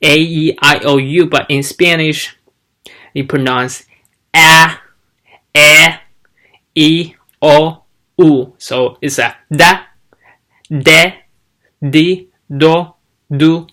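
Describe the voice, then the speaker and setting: Guy w/ talking slow, lots of ums, sounding out syllables one by one. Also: fairly high pitch, fairly even intonation, pushed and bright. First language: Chinese. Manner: slow, halting, demonstrates sounds aloud. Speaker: language learner vlogger. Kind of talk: casual teaching vlog.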